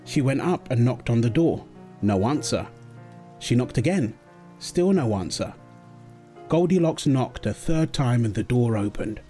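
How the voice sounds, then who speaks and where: A man playing a gentle young dad getting his baby girl to sleep. His voice is mellow and soft, with a lively, swooping melody that turns playful and coaxing. It has the intimate feel of a comfort roleplay and storybook reading. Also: mid-range voice, a little slow, soft background music, natural voice. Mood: storytelling. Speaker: audio roleplay performer.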